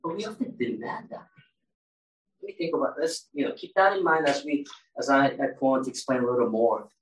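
A man's voice: medium pitch, brisk. A man preaching a sermon in a conversational way, asking his congregation questions as he goes. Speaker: pastor. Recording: roomy echo, mic at a distance.

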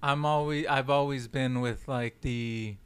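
A man's voice: medium pitch, steady tone, measured pace, clear, resonant tone. Young man chatting in loose slang. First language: Spanish. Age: young adult. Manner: loose slangy talk full of "like" and "yo". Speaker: podcast guest, film director. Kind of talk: casual chat.

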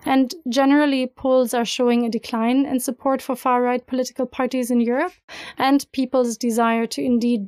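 A woman's voice: on the high side, mellow, no room sound. A woman presenting a prepared talk on politics, earnest and fluent.

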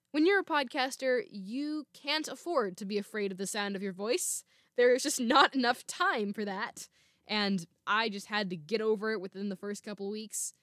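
A woman's voice: a bit bright, dry recording, clean studio sound. A young woman hosting her podcast, reading from a script with small laughs now and then. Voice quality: naturally lower female voice. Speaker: podcast host. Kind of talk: scripted solo podcast talk. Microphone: home microphone.

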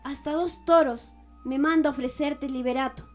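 A woman's voice: sits high, steady tone, normal speed, faint music behind, lightly acted. A woman playing a vengeful, grieving village mother in a radio drama, deferential yet determined. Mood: resolute, vengeful.

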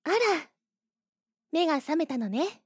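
A woman voice-acting an obsessively lovestruck, possessive young woman in an anime-style audio drama, with breathy, drawn-out declarations. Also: high-pitched, lively intonation, darkish tone. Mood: sweetly possessive.

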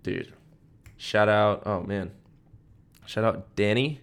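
A man's voice: on the low side, slow, clean tone. A young American man co-hosting a podcast in casual chat, talking with enthusiastic, slangy phrasing. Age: young adult. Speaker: podcast co-host.

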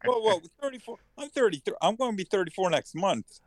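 A man's voice: sits high, wildly swooping pitch, fast talker, creaky, no echo, studio quality. A man in his thirties, a podcast guest, chatting in a laid-back, self-deprecating and hesitant way. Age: 30s. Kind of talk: casual podcast chat.

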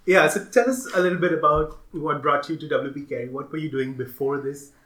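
A woman's voice: low-pitched, fairly quick, a bit bright, reverberant, a little hiss or hum, rough recording. A woman hosting a student podcast, introducing her guest and asking friendly questions, laughing along. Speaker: podcast host. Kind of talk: casual interview.

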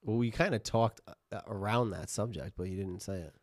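A man's voice: lowish voice, normal speed, darkish tone, dry recording, studio quality. A man co-hosting a podcast in casual, slangy, teasing banter. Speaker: podcast co-host.

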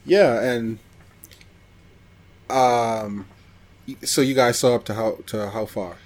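A man co-hosting a podcast in casual chat, rambling and pausing as he recalls details. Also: mid-range voice, steady tone, darkish tone. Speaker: podcast co-host. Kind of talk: casual chat about anime.

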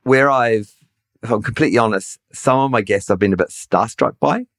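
A man's voice: medium pitch, fairly quick, a bit bright, no room sound, clean studio sound. A middle-aged man with a somewhat nasal voice, hosting a podcast in casual conversation, hesitant and self-deprecating with many restarts. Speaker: podcast host.

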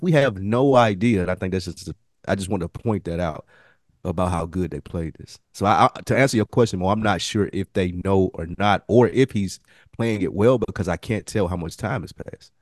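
A man's voice: fairly low pitch, a little fast, no echo, clean studio sound. A man co-hosting a podcast, talking through a TV show casually in a slangy, explanatory and emphatic way. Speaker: podcast co-host. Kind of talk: casual analytical TV discussion.

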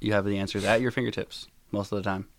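A man guesting on a podcast, chatting casually with dry, deadpan wisecracks in a naturally loud voice. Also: fairly low pitch, quick pace, no echo. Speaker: podcast guest, community moderator. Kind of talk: casual chat.

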